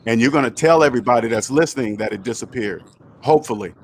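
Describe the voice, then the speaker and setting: A man's voice: medium pitch, fast talker, slight background noise. A man in his fifties hosting a call-in money talk, emphatic and repeating his points. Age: 50s. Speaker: host.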